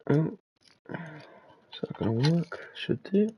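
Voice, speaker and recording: A man's voice: on the high side, sing-song, takes it slowly, muffled recording, slight background noise. A man chatting casually on a live stream, muttering to himself as he works and swearing now and then.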